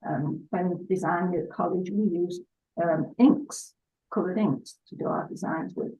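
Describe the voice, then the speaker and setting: An older woman from Salford, England, chatting as a guest in an unhurried way with hesitant fillers. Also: on the low side, warm tone. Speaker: guest artist. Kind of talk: relaxed interview chat. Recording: dull lo-fi sound.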